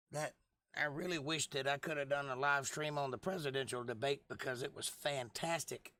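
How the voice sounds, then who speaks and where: A man with a hoarse, froggy voice hosting a casual livestream, rambling and joking at his own expense as he replies to viewers. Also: mid-range voice, fairly even intonation, a little slow, long pauses, sharp tone, dry recording. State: lost voice for months, throat clearing. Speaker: livestream host.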